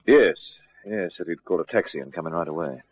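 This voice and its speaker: An actor playing a brisk, easygoing junior police detective, clipped and matter-of-fact, in an old radio drama. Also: male voice, low voice, lively intonation, slightly rough, no room sound, muffled recording.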